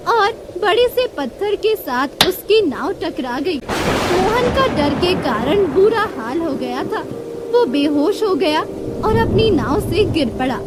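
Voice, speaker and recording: A woman's voice: very high voice, noisy background. A woman narrating a scripted children's moral story as a storyteller. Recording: loud music bed behind voice. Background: music.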